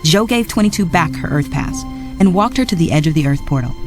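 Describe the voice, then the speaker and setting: Expressive woman reading a storybook. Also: low voice, fairly quick. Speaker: storybook narrator. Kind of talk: scripted read-along narration. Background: music.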